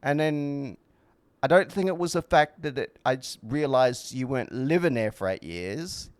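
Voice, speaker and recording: A man's voice: mid-range voice, lively intonation, moderate pace, clear, resonant tone, dry recording. A man co-hosting a podcast in casual, rambling conversation, blunt and opinionated, with frequent swearing. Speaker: podcast co-host.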